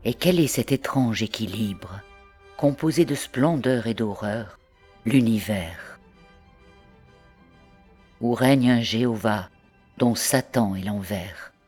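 A woman reads poetry aloud in a literary recitation style. Her pace is very slow and her phrasing deliberate, with pauses between short groups of words. Soft music plays behind her. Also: a bass voice, slightly rough. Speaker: poetry reader.

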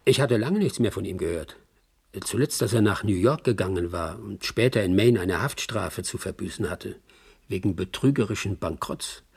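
A shrewd middle-aged Hollywood agent telling a story in a radio drama. The voice is low, very husky and full of gravel. He muses wryly, as if chatting. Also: animated, normal speed, heavily acted. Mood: puzzled, musing. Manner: wry, conversational, musing. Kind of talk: German radio drama narration.

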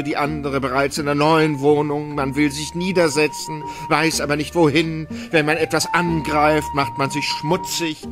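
Man as lethargic gentleman, fretful whine, unhurried delivery, self-pitying, radio drama, music behind. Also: fairly high pitch, lightly acted. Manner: querulous, whining, given to sighing self-pity. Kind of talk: radio play acting.

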